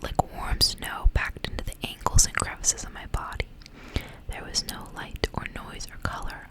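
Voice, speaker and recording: Whispering woman reading a story, ASMR. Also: poor audio. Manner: whispering, soft and unhurried storytelling. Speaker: ASMR reader.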